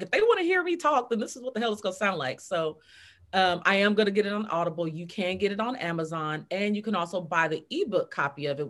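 Middle-aged woman, deep voice, upbeat and instructive podcast guest. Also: a bit bright. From Fresno, California. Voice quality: low, deep voice. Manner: upbeat and instructive, stepping through tasks. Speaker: podcast guest, business author. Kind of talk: casual interview chat.

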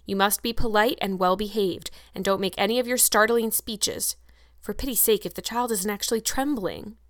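A practical older guardian from a storybook, voiced by a woman narrator. She is brisk and stern, scolding kindly in a medium-pitched voice with no room sound. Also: no room sound, clean studio sound, natural voice. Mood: brisk admonishing. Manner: no-nonsense, scolding but kindly. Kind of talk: audiobook character acting.

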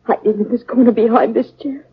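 A woman's voice: on the high side, fairly even intonation, fairly quick, phone-quality sound, a little hiss or hum, natural voice. A woman playing a terrified, breathless wife in an old time radio horror drama. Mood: fearful dread. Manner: breathless, urgent, melodramatic. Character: terrified wife hiding from giant moth and spider.

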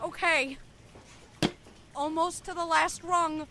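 A woman's voice: very high-pitched, steady tone, slow pace, background noise, exaggerated voice. A woman acting a frightened, stammering survivor of the undead in an audio horror drama, pleading and full of doubt. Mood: tense.

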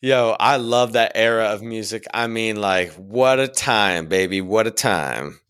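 A man's voice: on the low side, measured pace, a bit bright, clean tone, dry recording, clean studio sound. A man hosting a podcast, chatting casually with lots of slang and swearing and the enthusiasm of a music fan. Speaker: podcast host.

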